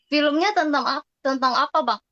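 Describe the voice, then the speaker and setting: A high-voiced woman chats casually and asks curious questions. Her voice is muffled, like lo-fi audio. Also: normal speed, a bit bright. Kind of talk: casual chat.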